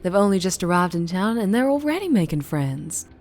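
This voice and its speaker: A woman playing a self-assured, flirtatious female vampire boss with a Southern US accent in an unhurried ASMR roleplay drama. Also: fairly low pitch, big pitch swings, darkish tone, slight background noise. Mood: pleased, confiding. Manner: teasing, pet names, unhurried.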